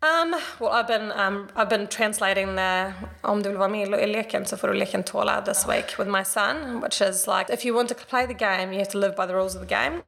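Fast-talking Swedish woman with a gravelly edge. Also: medium pitch, fairly bright tone. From Sweden. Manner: chatty, lots of "like" and "you know". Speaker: interview guest, business founder. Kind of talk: casual interview answers.

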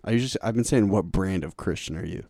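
Deep, mellow man hosting. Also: fast talker, vocal fry, no echo, studio quality. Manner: relaxed, casual slang. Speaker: podcast host. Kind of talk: casual conversation.

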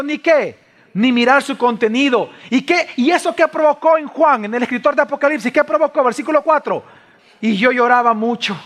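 Very high-pitched, impassioned male preacher. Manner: impassioned, dramatic, swinging from loud cries to hushed low lines; rhetorical questions and repetition for emphasis. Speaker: pastor preaching to a congregation. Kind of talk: sermon, expository Bible preaching.

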